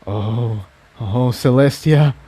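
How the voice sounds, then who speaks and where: A man's voice: mid-range voice, slow, mellow, husky. A man voicing a determined, protective male pony hero who speaks with earnest emphasis in a story reading. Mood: shocked.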